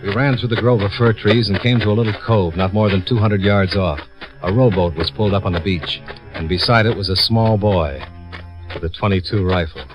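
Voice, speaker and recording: Man playing a hard-boiled american radio detective, lowish voice, dry and clipped, music behind him. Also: brisk, muffled recording, lightly acted. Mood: brisk narrating. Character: cool, shrewd, hard-boiled male insurance investigator. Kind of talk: 1950s radio detective acting and first-person narration.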